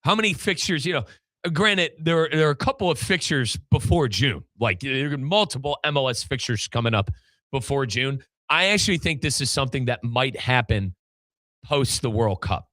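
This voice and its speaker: Bright-voiced American man talking soccer. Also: mid-range voice, animated, normal speed, dry recording. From United States. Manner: informal, chatty, slangy. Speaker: sports podcast host and play-by-play commentator. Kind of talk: casual, animated soccer discussion.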